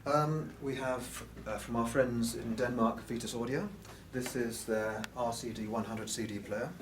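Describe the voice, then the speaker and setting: A man's voice: mid-range voice, fairly even intonation, a little slow, noisy background. A man giving an informal, explanatory technical product presentation as an exhibitor at a show. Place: room at an audio show. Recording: roomy, distant mic, music behind.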